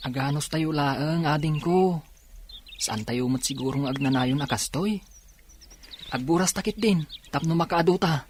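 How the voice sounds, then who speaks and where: A man acting a poor village teenager with a Filipino accent, dutiful and earnest in a radio drama. His voice sits fairly high for a male, over clearly noisy background sound. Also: unhurried, long pauses, close to an everyday voice. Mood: patient, reassuring. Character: dutiful, hardworking teenage son and older brother from poor village.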